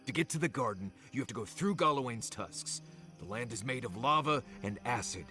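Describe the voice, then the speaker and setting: A man's voice: medium pitch, animated, brisk, music in the background, close to an everyday voice. A man acting a stubborn, grumpy guide in a video game, blunt and sarcastic. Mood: exasperated. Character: grumpy, sardonic, stubborn male fantasy guide.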